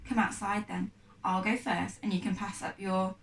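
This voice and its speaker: A woman's voice: medium pitch, little pitch movement, normal speed, creaky, natural voice. Woman doing a blunt fearless boy, echoey. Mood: offhand. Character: blunt, fearless, streetwise boy, dismissive and proud. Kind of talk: storybook character dialogue. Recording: echoey room, distant mic.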